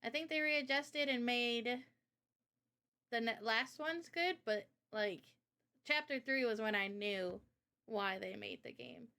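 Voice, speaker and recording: A woman's voice: a little high, takes it slowly, creaky, sharp tone. A woman in a casual interview chat about games, speaking informally and with opinions as the host. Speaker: host and game developer.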